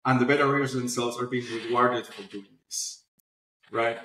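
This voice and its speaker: A man's voice: medium pitch, steady tone, moderate pace, a bit bright, a little hiss or hum. A man being interviewed on a video call, explaining his platform informally with everyday examples. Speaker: founder of a publishing platform, podcast guest. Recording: roomy echo, online video call.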